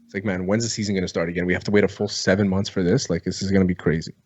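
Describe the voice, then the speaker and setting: A man's voice: low-pitched, steady tone, very fast, lo-fi sound. Blunt man bantering about sports. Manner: blunt, profane, opinionated. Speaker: sports podcast co-host.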